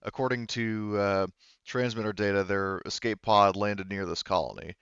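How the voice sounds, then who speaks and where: A man's voice: fairly low pitch, fairly even intonation, moderate pace, fairly bright tone, smooth, no room sound, muffled recording. A man voicing a curt, formal, coolly threatening Japanese corporate executive in a tabletop roleplaying game. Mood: cool, demanding.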